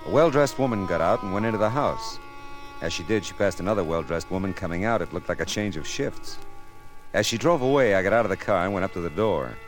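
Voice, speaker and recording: An actor playing a wry, wary private detective who narrates in the noir style of 1950s radio drama. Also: male voice, low-pitched.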